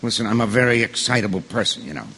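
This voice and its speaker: A man playing an excitable, indignant, self-righteous juror in a stage drama, stammering and emphatic as he defends himself. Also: fairly low pitch, fast talker, long reverb tail, slight background noise. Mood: defensive, worked up.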